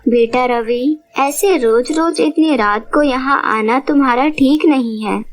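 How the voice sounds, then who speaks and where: Woman acting high-voiced caring motherly figure. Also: a little slow, slight background noise. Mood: gentle, reassuring. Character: caring, protective young mother and gentle mermaid. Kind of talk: cartoon moral-story voice acting.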